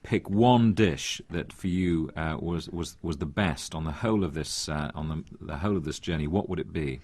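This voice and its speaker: A man's voice: extremely low pitch, moderate pace, darkish tone, no echo. A man hosting a radio interview, asking questions with friendly light teasing. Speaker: radio presenter. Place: radio studio.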